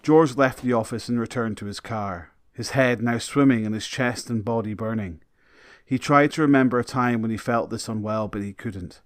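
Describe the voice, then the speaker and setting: A man's voice: mid-range voice, normal speed, no room sound, studio quality. A man reading scripted dramatic narration for an audio drama.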